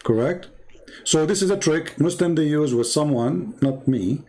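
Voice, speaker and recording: A man's voice: fairly high pitch, normal speed, warm tone. An English-speaking man hosts a religious debate, pressing his opponent with confrontational, repeated demands. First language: not English. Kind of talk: live religious debate, cross-examination.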